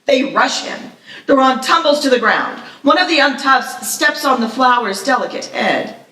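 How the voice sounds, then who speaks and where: Bright-voiced woman narrating in an echoey room. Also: high-pitched, expressive, normal speed. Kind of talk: reading screenplay action lines aloud at live table read. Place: live festival reading in echoey room. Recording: echoey room, distant mic.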